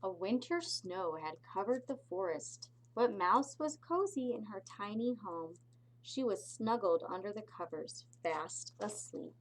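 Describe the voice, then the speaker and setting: Slow-paced woman reading a children's story. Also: mid-range voice, animated, poor audio. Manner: slow, deliberate phrasing with explanatory asides. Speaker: pre-K teacher reading aloud. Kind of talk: expressive picture-book read-aloud for young children.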